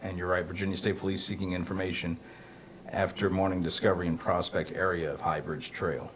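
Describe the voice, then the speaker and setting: A man's voice: sits low, fairly even intonation, a little fast, a bit bright, a little hiss or hum. A man hosting a casual local radio show, announcing and chatting in a playful, teasing way from a studio. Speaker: radio host. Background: music bed.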